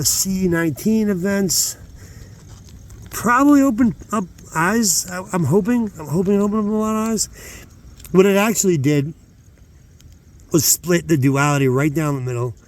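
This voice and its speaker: A man's voice: a little high, a little mellow. A man talking casually as host of his own outdoor vlog, hesitant and meandering, often interrupting himself. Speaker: channel host. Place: outdoors at night, rockhounding.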